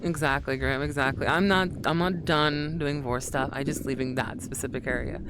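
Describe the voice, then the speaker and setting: A woman's voice: sits low, fairly even intonation, a little fast, background noise. A woman streaming and chatting casually with her viewers, rambling and calling them sweetie.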